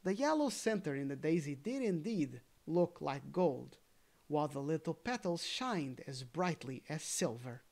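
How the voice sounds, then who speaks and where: A storybook reader telling a fairy tale in a careful, gently expressive way, with casual asides. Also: medium pitch, measured pace.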